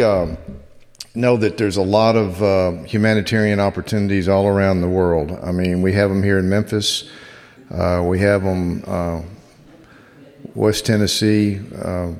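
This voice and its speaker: A man hosting a church event and making announcements, with many halting fillers. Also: deep voice, a little mellow, long reverb tail. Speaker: event host. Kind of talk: casual announcements.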